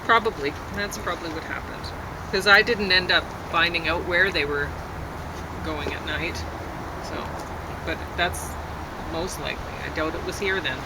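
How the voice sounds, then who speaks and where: Female co-host, informal conversational delivery, taped outside with clear traffic noise behind her. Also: lowish voice, moderate pace. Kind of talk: casual chat. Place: outdoors on a deck. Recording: outdoor recording with clear vehicle noise.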